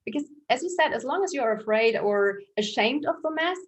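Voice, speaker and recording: A woman's voice: fairly high pitch, lively intonation, a little fast. Affirming female podcast host. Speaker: podcast host, mindset coach. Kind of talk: conversational interview.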